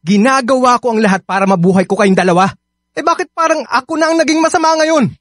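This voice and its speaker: A man's voice: extremely high pitch, animated, quick pace, fairly bright tone, no room sound, studio quality. A Filipino-accented man acting in a radio drama as an evasive, resentful young husband and father on the defensive. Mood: resentful, frustrated.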